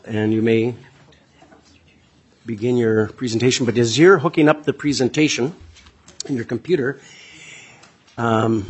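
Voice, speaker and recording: A man chairing a legislative committee hearing, halting speech full of fillers. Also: mid-range voice, slightly rough. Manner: halting, many "uh" fillers, folksy.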